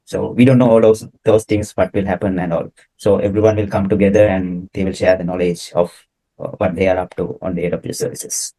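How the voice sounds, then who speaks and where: Low mellow-voiced young man explaining. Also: a little fast, low-quality recording. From Sri Lanka. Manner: relaxed, filler-laden explaining. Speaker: co-host, solution engineer. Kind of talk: casual presentation chat.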